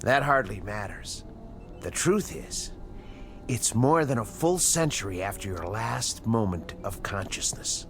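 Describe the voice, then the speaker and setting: An actor playing a calm, self-satisfied American scientist, soothing and a little condescending, in a radio drama. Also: male voice, fairly low pitch, measured pace, gravelly, faint music behind, heavily acted. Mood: calm, pleased.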